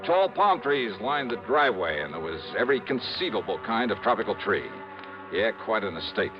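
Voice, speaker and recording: A man's voice: lowish voice, sing-song, a little fast. A man playing a breezy, wisecracking insurance investigator in 1950s radio drama style. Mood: matter-of-fact. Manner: breezy, wisecracking, folksy slang. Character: breezy, teasing male freelance insurance investigator. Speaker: lead actor and narrator of a detective serial. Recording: muffled vintage radio recording with music.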